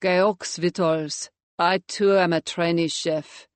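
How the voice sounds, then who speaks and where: A woman voicing a guarded young male trainee chef with an Eastern European accent, speaking in low, terse, broken English. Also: steady tone, slow, clean tone, no echo.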